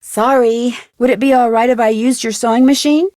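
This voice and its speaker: Woman playing polite curious teen girl detective in a video game, highish voice, earnest, super dry audio. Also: fairly even intonation, moderate pace, darkish tone, no echo, lightly acted. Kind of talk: scripted adventure game dialogue.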